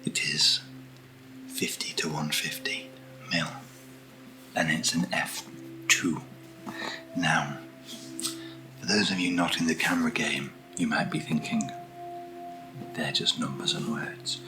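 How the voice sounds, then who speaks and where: This is a British man with a very low voice, hosting an ASMR-style unboxing. He talks softly and slowly, often sinking into a hushed whisper. Music-like tones sound clearly behind him. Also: steady tone, poor audio. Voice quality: soft, often dropping to a whisper. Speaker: ASMR video host. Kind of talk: soft-spoken ASMR unboxing.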